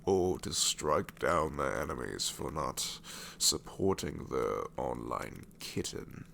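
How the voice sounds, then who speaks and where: A man voicing a sassy male shadow demon in an ASMR roleplay, snarky and rambling with soft whispered asides. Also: a bass voice, animated, takes it slowly, vocal fry, a little hiss or hum, full character voice.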